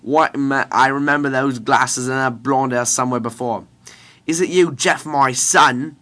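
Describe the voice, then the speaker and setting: A man voicing a bumbling, excitable older scientist father in a deliberately bad British accent, with a loud, projected voice. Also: medium pitch, voice pushed up. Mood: astonished.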